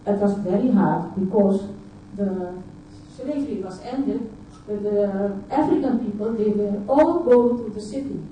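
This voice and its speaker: A woman whose first language is Dutch, from Suriname, giving a halting personal talk at a conference, often repeating words and filling pauses with uh. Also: medium pitch, dark tone, a little hiss or hum. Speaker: conference speaker. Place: large conference hall. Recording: echoey hall, voice picked up from far off.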